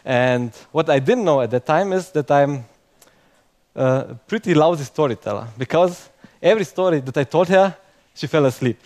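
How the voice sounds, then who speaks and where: Young non-native male tech speaker, self-mocking, fairly high voice, tags lines with "okay?". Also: lively intonation, normal speed, darkish tone. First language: not English. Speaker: conference speaker. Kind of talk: conference talk to a live audience.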